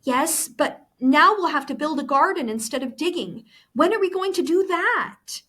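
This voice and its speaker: A woman's voice: high voice, lively intonation, moderate pace, a bit bright, voice pushed up. A woman reading the part of a worried young girl in a storybook, doubtful and questioning.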